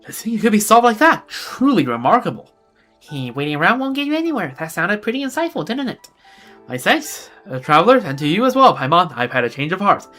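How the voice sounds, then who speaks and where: A man voicing an earnest, polite painter character from a video game, reading the lines formally and a little higher than his own voice. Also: sing-song, brisk, bright, forceful tone, soft background music, heavily acted. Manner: formal, polite read-aloud delivery.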